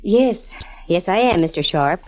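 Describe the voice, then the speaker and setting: A woman's voice: on the low side, expressive, unhurried, darkish tone. A woman playing a tough, cool-headed sleuth, wry and clipped, in an old-time radio detective drama. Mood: wary, cool. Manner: wry, hard-boiled, clipped. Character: tough, cool-headed female sleuth narrating her case.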